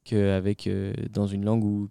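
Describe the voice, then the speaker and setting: A man's voice: fairly low pitch, monotone, measured pace, vocal fry, warm tone, clear, resonant tone, no room sound. A young man, a singer-songwriter, answering interview questions thoughtfully with some hesitation and dry humor. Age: young adult. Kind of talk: casual interview chat. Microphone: microphone.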